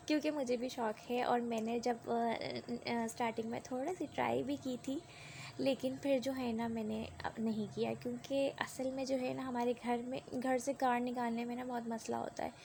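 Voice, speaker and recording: A woman's voice: a little high, flat delivery, moderate pace, creaky, dark tone, a little hiss or hum. A young woman with a sore throat and a cough, chatting through a rambling vlog voice-over. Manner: rambling and conversational, with many tag fillers. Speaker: vlogger.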